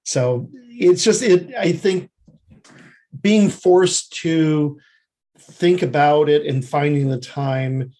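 Reflective man with a slightly high voice. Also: slow, darkish tone. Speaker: interview guest, screenwriter and TV creative services producer. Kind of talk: conversational interview answers.

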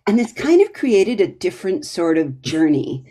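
A woman hosts an informal literary interview. Her voice is on the low side and soft-edged in tone, with a mellow colour. She speaks analytically, leaning into her points with emphasis. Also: big pitch swings, normal speed. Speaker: podcast interviewer. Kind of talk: conversational literary discussion.

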